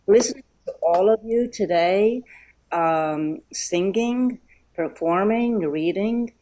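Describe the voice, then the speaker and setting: A middle-aged woman from Poland giving a heartfelt thank-you speech over a video call, pausing often with um and uh. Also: medium pitch, low-quality recording. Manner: warm and grateful, many um and uh pauses. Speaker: event guest speaker.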